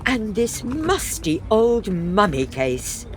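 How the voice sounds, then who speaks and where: A practical, dryly exasperated wife of middle years acted by a woman in a comic period radio farce. She speaks slowly with animated pitch, over faint background noise.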